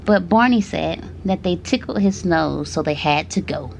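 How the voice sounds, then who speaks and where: A woman reading children's stories aloud to her baby, speaking gently and breaking off for playful asides. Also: on the low side, animated, moderate pace, creaky, a little hiss or hum, poor audio.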